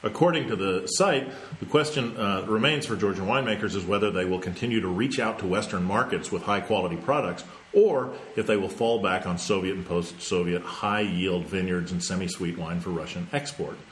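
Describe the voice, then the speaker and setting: A man's voice: sits low, brisk, hardly any pauses, slight background noise. A man hosting a casual news roundup, reading items aloud and breaking off to joke about them. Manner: reads news items then riffs with jokes. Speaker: news podcast host. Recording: echoey room, faint ambience.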